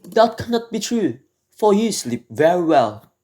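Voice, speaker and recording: A scheming, unhappy noblewoman, acted by a man, pitched up high. She speaks in a coaxing, defensive way, in the style of an amateur radio play. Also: very animated, a little slow, a little mellow, soft background music. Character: scheming, unhappy wife of a lord. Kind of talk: amateur radio drama acting.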